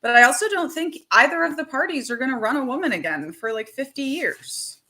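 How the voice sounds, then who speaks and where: A woman talking casually and animatedly as host, with quick asides, laughs and the odd swear word. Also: sits high, expressive, bright, forceful tone. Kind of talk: casual chat to camera.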